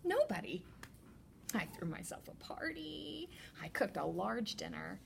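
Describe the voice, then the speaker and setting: A woman reads a picture book to children in a mid-pitched voice with some creak in it. Her delivery is deliberate and expressive, in the manner of a school librarian. There is faint background noise on the recording. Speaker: teacher librarian.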